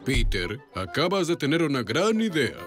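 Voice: medium pitch, big pitch swings, normal speed, lightly acted. An actor voicing a grave, protective male dinosaur leader in a children's cartoon, solemn and declamatory. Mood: approving. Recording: loud music behind the voice.

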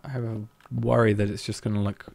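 Man, low voice, gravelly, hesitant game streamer thinking aloud. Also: flat delivery, quick pace, slight background noise. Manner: musing, hesitant, planning out loud.

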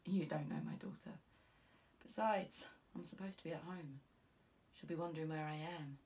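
A woman's voice: deep voice, moderate pace, a little mellow, recorded from a distance, lightly acted. A woman voicing a guarded, reluctant female detective sergeant in an audiobook, with a dry, curt, understated manner. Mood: quietly reluctant. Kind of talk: audiobook character voice.

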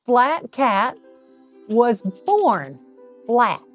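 A middle-aged woman reading a children's bedtime story aloud, with deliberate, drawn-out emphasis on phrases. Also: on the high side, sing-song, faint music behind. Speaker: storybook reader, local insurance agent.

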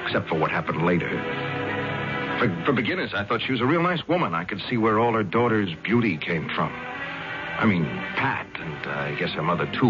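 Low-voiced brooding young athlete. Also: male voice. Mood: wistful. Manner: halting, plain-spoken. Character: big young football player, brooding and plain-spoken. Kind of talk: 1970s radio drama acting. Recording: muffled 1970s radio recording, music behind.

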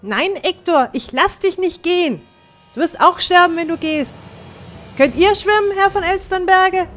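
A woman's voice: very high-pitched, a little slow, fairly bright tone. A woman playing a small teenage girl adventurer in a fantasy audio drama, anxious and urgent for her friend. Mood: worried, pleading. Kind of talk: fan-made fantasy audio drama acting.

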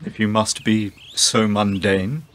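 An actor with an English accent playing a cold, exasperated husband in a radio play, stiff, formal and pompous. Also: male voice, on the low side, level tone, slow, a bit bright. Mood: cold, weary. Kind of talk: period-flavoured radio play acting.

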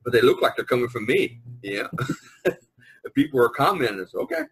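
A man hosting a podcast in a casual, rambling, easygoing group chat with a husky voice. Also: medium pitch, sing-song, brisk, low-quality recording. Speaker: podcast host. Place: online video conference.

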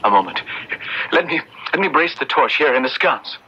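An actor in an old radio drama playing an earnest, tender young clergyman, solemn and theatrical, with a gently fatherly manner. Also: medium pitch, lively intonation, moderate pace, a little hiss or hum. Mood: tender, consoling. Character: earnest, tender young English-ordained clergyman comforting a prisoner. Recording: dull lo-fi old radio recording.

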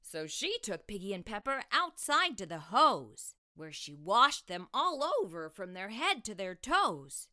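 A middle-aged woman reading aloud to young children as a storytime host, with expressive, deliberate delivery. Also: medium pitch. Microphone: lapel mic.

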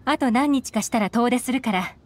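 Woman acting a cool, slightly high-voiced secret agent. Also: fairly quick, lightly acted. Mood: knowing, teasing. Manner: composed, knowing, slightly teasing. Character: cool, shrewd young woman intelligence agent, secretive and teasing. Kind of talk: anime game voice acting.

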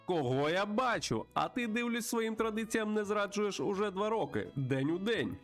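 A man's voice: high voice, very animated, normal speed, a bit bright, faint music behind, pitched above the performer's own voice. A man voicing a friendly, sentimental old falcon sheriff in a game. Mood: warm, sentimental.

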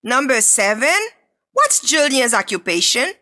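Bright high-voiced woman reading dialogues. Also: big pitch swings, unhurried. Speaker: textbook audio reader. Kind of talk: scripted dialogue reading for learners.

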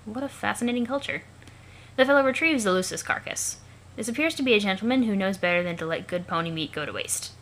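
A woman's voice: mid-range voice, animated, quick pace, fairly bright tone, a little hiss or hum. A woman voicing a wry narrator who fondly observes an alien child in mock-formal, lilting storybook style. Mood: amused, fond.

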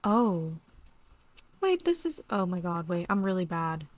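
A young woman with a voice going hoarse, chatting casually and excitably while she plays a horse game at home. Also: lowish voice, unhurried, no echo. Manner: chatty, excitable, lots of "like" and "literally". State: losing her voice. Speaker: gaming YouTuber. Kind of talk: casual gameplay commentary.

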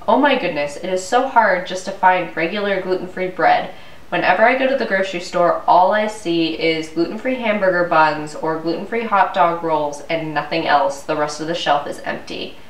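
A woman's voice: fairly low pitch, normal speed. Stuffy-nosed young woman ranting. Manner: chatty, exasperated ranting. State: stuffy, congested from a cold. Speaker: vlogger. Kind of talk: casual ranting vlog. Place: living room at home, indoors. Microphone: camera mic from a few feet away. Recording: roomy, distant camera audio.

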